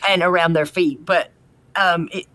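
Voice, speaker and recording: A woman's voice: lowish voice, steady tone, normal speed, a bit bright, dry recording. A woman co-hosting a podcast, chatting casually with dry amusement as she recaps a TV episode. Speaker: podcast co-host.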